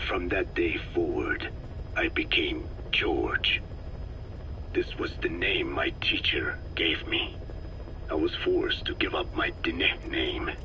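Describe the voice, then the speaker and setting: An actor playing a very old Navajo scientist from the American Southwest who speaks slowly and solemnly, recorded as a game cassette tape with hiss. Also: male voice. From Navajo Nation, American Southwest. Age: older. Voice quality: low, slow, measured and solemn, often breathy.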